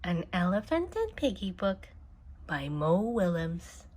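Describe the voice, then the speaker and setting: A woman reading a children's storybook aloud in an expressive style. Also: lowish voice, slow, slightly rough. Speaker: children's book reader.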